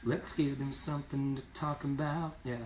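A hoarse man in his thirties chatting casually on a live stream from a small room, rambling and reading viewer comments aloud. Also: medium pitch, flat delivery, normal speed, slight background noise. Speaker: singing duo member hosting a live stream. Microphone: phone camera at a distance. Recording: echoey room, from a distance.

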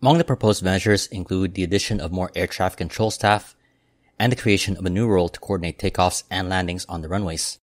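A man hosting an aviation news channel, reading a scripted report in a steady, measured way as a voice-over. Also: low-pitched, dry recording, clean studio sound. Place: voice-over, not recorded in the pictured airport.

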